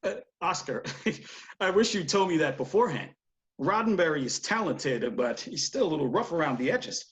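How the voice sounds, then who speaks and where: A man's voice: a little high, big pitch swings, normal speed, a bit bright. An actor playing a wry, emphatic male TV studio executive in a screenplay table read. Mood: uneasy.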